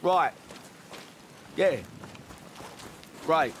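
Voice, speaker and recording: An actor playing a cocky, grumbling young British convict turned commando in a video game, blunt, with a London accent. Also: male voice, fairly high pitch, drawn-out words, a little hiss or hum. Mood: wary. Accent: English, London. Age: young adult. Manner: surly, blunt. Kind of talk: video game cutscene acting.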